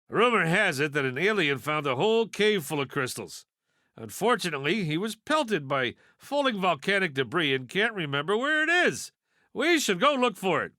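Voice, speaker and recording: A man's voice: high-pitched, bright, forceful tone, no echo, clean studio sound, full character voice. A man voicing a wisecracking toy piggy bank in a video game, a brash know-it-all with a sardonic, folksy delivery. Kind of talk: video game character voice lines.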